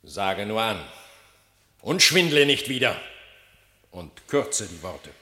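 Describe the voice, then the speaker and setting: A man's voice: fairly low pitch, big pitch swings, takes it slowly, bright, forceful tone, reverberant, full character voice. An actor playing a stern male animal king holding court in a radio play. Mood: impatient. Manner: commanding, stern.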